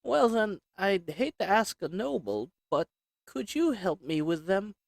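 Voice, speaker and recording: A man's voice: sits high, big pitch swings, measured pace, creaky, no room sound, voice pushed up, exaggerated voice. A man voicing a polite, meek storekeeper in a roleplay audio drama, courteous and hesitant in manner. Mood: hesitant, deferential. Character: polite, meek storekeeper, an assassin's flirty disguise.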